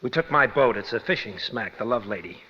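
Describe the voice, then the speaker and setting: An actor playing an earnest, desperate young condemned prisoner, speaking urgently and confessionally in mid-century radio drama style. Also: male voice, mid-range voice, lively intonation, fairly quick, sharp tone, husky. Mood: grim confessing. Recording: dull, hissy old radio recording.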